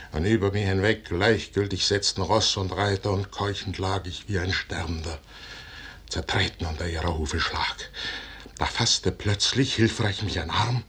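An actor in a 1950s German radio drama playing a proud, stubborn, visionary general, husky and solemnly declamatory in verse. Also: male voice, low-pitched, a bit bright, exaggerated voice.

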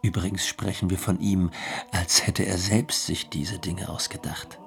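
A man's voice: low-pitched, fairly even intonation, unhurried, gravelly. A man playing an earnest, learned friend in a radio play, reasoning and questioning in formal speech. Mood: earnest, inquiring. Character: earnest, learned male friend gently debating theology.

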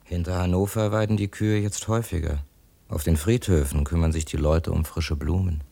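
An actor playing a detached reciter of roadside fragments in an experimental radio play. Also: male voice, sits low, steady tone, normal speed, vocal fry, dark tone, no room sound.

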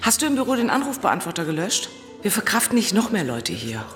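A woman's voice: mid-range voice, big pitch swings, fairly quick, lightly acted. An actress playing a stressed, sceptical woman in charge, curt and reproachful, in a radio drama. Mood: exasperated. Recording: long hall reverb under music.

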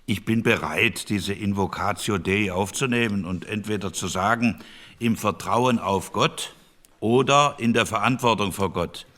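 Sceptical statesman, low voice, dry, deliberate, opinionated, radio play. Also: fairly bright tone. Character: dry, sceptical liberal male elder statesman in committee. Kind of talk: radio drama re-enactment of a parliamentary debate.

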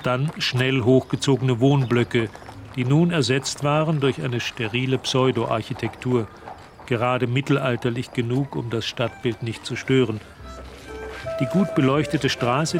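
A composed storyteller voiced by a man narrates a radio drama in a mid-pitched voice. The scripted, literary delivery sits over prominent music. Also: a little slow, lightly acted.

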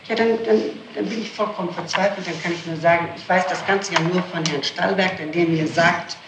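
A woman's voice: on the low side, steady tone, a little fast. A woman answering questions as a suspect in a formal interrogation, emphatic and defensive. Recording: distant, noisy, echoey archival interrogation tape.

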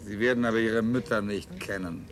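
A man's voice: fairly low pitch, fairly quick, lightly acted. A man playing a cold, self-satisfied scientist in a German sci-fi radio play, speaking theatrically and clinically, relishing each word. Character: cold, self-satisfied male scientist-ruler extolling his breeding system. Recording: radio drama with music underneath.

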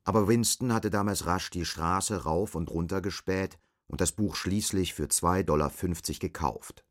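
Man as narrator of a dystopian radio play, really deep voice, sober and matter of fact. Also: normal speed, dry recording, studio quality, lightly acted. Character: sober male storyteller of a bleak dystopian tale. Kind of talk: scripted literary radio drama narration.

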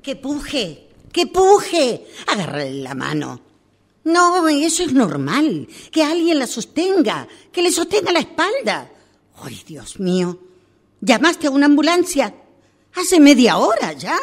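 A woman's voice: sits high, wildly swooping pitch, slow pace, full character voice. Urgent Argentine midwife on the phone, acted by a woman. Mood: urgent, alarmed. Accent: Argentine Spanish. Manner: urgent, reassuring, instructing. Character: worried midwife stuck in traffic, coaching a birth by phone. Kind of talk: radio drama acting, one side of a phone call.

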